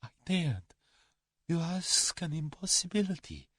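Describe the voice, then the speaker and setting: A man voicing a cowardly, haughty aristocrat in an audiobook story, formal and halting. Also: very animated, no room sound. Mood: sullen dread. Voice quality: soft-spoken, high when agitated.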